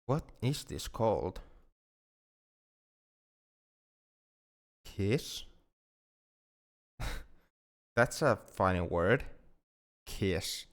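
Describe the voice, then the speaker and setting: A man playing a shy, tender, awkward alien in love in an intimate ASMR roleplay, speaking gently and haltingly, often repeating words. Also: lowish voice, lively intonation, vocal fry, full character voice. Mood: tender, sleepy.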